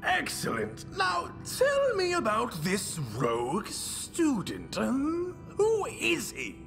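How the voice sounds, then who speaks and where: Imperious game villain boss, slow and gravelly but sharp, theatrical, gleefully scheming, bit of music behind. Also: high voice, huge pitch swings, heavily acted. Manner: theatrical, imperious, drawn-out emphatic delivery. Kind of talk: video game villain voice acting.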